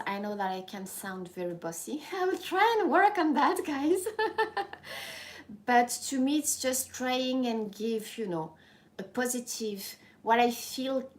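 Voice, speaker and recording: A woman in her thirties with a French accent, chatting casually with viewers on a live stream, rambling and frank with frequent restarts. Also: fairly high pitch. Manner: rambling, self-correcting, frank, laughs easily. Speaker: YouTuber hosting a live stream. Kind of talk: casual live-stream chat reading comments.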